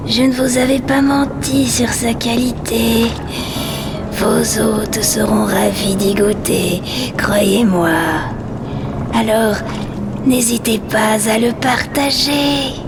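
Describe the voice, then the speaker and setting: An actress playing a gaunt, unsettling ship captain in an audio drama, formal and poised, with an unhurried courtesy. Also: female voice, a little high, steady tone, over loud music. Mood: suave, poised. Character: very tall, gaunt, unsettling woman ship captain, suave trader. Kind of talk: French audio fiction acting.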